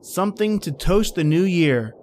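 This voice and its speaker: An actor as higher-voiced, edgy man in radio drama. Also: expressive, normal speed, darkish tone, a little hiss or hum. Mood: conciliatory. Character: Jack, edgy, short-tempered man at a remote outpost, narrating grimly. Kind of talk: old-time radio drama acting and first-person narration.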